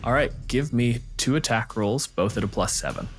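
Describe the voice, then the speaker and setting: A man's voice: mid-range voice, expressive, moderate pace, soft background music. A man running a tabletop game as game master, narrating the action and calling for rolls.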